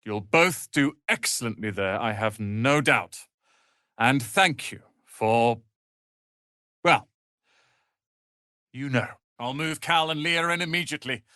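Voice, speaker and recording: A man voicing a proud, sharp-tongued young tiefling wizard's apprentice in a video game, with haughty, theatrical, biting delivery. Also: mid-range voice, no room sound, studio quality. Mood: smug, pompous, then grudging, sincere. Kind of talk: dramatic video game voice acting.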